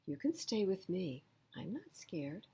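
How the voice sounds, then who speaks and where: A woman's voice: fairly low pitch, very animated, unhurried, dark tone, husky, exaggerated voice. A woman voicing a brave, reassuring duck in a children's storybook, brushing fears aside. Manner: reassuring, dismissive.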